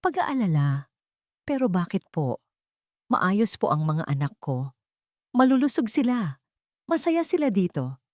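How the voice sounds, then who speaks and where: A woman voicing an anxious, timid housemaid and mother who answers haltingly and defensively in a story. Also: mid-range voice, very animated, darkish tone, no room sound, studio quality. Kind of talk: audio drama storytelling.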